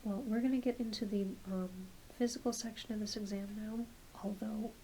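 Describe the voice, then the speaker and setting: A soft-spoken woman, often dropping into a whisper, gently playing a reassuring doctor in an ASMR roleplay. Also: mid-range voice, steady tone, a little fast, poor audio. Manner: gentle, reassuring, hesitant questioning. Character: kindly, attentive doctor examining a patient. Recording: hissy close home recording.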